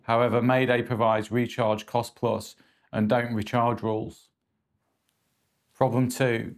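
A man in his forties presenting a scripted review in a measured, matter-of-fact way. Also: medium pitch, creaky.

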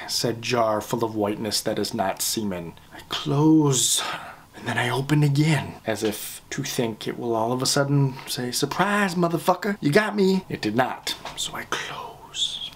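A man in his thirties telling a comic story at home to a close camera, animated and profane, jumping into whispers and mock-grand phrasing. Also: mid-range voice. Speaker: vlogger. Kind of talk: comedic storytelling rant. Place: living room at home, indoors. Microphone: camera close up at face level.

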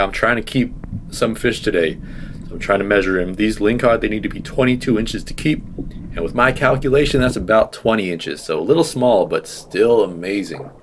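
Male vlogger, deep voice, eager explainer, faint hiss. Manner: enthusiastic, explains as he goes. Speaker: fishing vlogger. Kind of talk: casual voice-over narration.

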